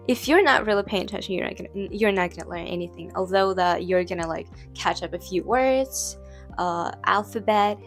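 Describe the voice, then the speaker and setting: A young woman talking casually to camera. English is not her first language, and her sentences wander with constant "like" fillers and restarts. Her voice sits at a middling pitch over faint background music. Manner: rambling, heavy use of "like" fillers, frequent restarts. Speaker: vlogger.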